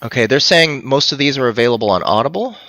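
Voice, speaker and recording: A man's voice: medium pitch, animated, quick pace, fairly bright tone, no room sound, rough recording. A man in his thirties chatting casually as a guest on a live stream, speaking into a headset. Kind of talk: casual chat. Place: room at home, video call.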